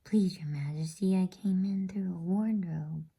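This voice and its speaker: An awkward young schoolboy from a bedtime story, voiced by a woman, speaks in a soft breathy whisper. He is polite and unsure, hesitating and stammering in a mellow tone. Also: fairly low pitch, measured pace, exaggerated voice. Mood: nervous, polite. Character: timid, awkward, confused schoolboy.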